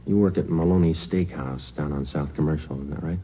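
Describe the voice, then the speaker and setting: Casting: terse radio detective sergeant, extremely low voice, deadpan delivery, muffled vintage broadcast. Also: male voice, a little fast. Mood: businesslike. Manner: clipped, deadpan, matter-of-fact. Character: terse, businesslike male police detective sergeant narrating his case. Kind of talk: 1950s radio crime drama acting. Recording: muffled old radio recording, music behind.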